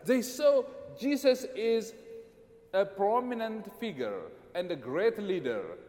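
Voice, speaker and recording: A man from Ethiopia preaching a sermon, emphatic and repetitive with dramatic pauses, in a church sanctuary. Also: sits high, sing-song, dark tone, long reverb tail.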